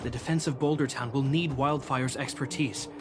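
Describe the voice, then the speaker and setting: A man's voice: mid-range voice, steady tone, normal speed, over music, natural voice. An actor playing an earnest, duty-bound young male military commander, formal and resolute, in a video game. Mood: reassuring.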